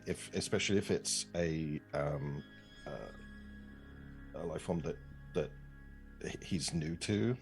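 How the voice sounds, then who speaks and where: A man with a deep voice talks casually as a player in a tabletop game. He is hesitant and thinks out loud, with faint music in the background. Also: poor audio.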